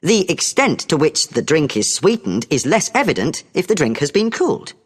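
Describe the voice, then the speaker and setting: A British woman delivering a scripted academic lecture as a lecturer, in a measured, explanatory manner. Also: low voice, slightly rough, in a large hall.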